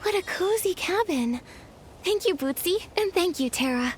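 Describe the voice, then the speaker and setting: A woman's voice: very high-pitched, animated, moderate pace, slight background noise. A woman voicing a kind young heroine in a video game, speaking politely and sincerely. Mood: warm, bright. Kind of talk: video game character dialogue. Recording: game music behind the voice.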